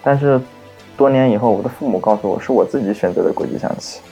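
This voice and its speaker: A man from China speaks calmly and reminisces in a fairly low voice. His tone is mellow, with a gravelly edge. He sounds like a chess player in a documentary interview. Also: quick pace, soft background music, rough recording.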